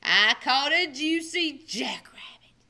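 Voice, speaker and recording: A greedy storybook he-coyote, acted by a woman, howling and folksy. The voice is bright and forceful, with lively swings in pitch. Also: slow pace, full character voice. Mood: greedy glee. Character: gruff, hungry male coyote, storybook villain.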